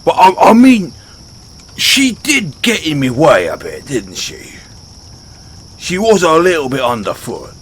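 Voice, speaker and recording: A man's voice: fairly high pitch, sing-song, a bit bright, a little hiss or hum. A man playing a big, brash orc barbarian in a fantasy audio roleplay, speaking colloquially straight to the listener. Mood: defensive, flustered. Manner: colloquial, halting when defensive. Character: big, brash male orc barbarian, rambunctious but sheepish. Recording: nature ambience with crickets behind. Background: outdoor night ambience, crickets.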